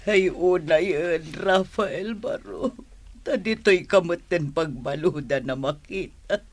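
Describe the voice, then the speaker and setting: A man's voice: sits high, slow. A man voicing an elderly, consoling, motherly village aunt in a radio drama. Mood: consoling, sorrowful. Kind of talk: Ilocano radio drama acting.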